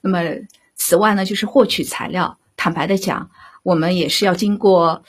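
A woman speaking as a drama director in an unscripted interview, halting as she searches for words. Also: fairly low pitch, fairly even intonation, slightly rough.

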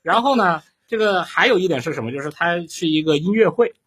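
A man's voice: sits high, animated, fairly quick, fairly bright tone, slightly rough. A man chatting casually as a panel guest, giving his opinions in a colloquial way and often checking with tag questions. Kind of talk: casual chat, offering personal opinions.

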